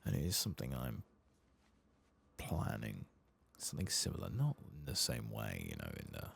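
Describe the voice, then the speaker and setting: Male, extremely low voice with heavy fry, soft and hushed ASMR game commentary, musing and unhurried. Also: wildly swooping pitch, a little hiss or hum. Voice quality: soft, hushed, breathy at times. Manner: unhurried, musing, murmuring to himself while playing. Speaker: gaming video creator.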